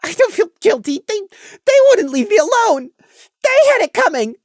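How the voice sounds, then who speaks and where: A woman voicing a young male pony who is broken and full of self-loathing, crying as he stammers and breaks into shouts. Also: very high-pitched, big pitch swings, moderate pace, dry recording, studio quality, voice pushed up. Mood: anguished.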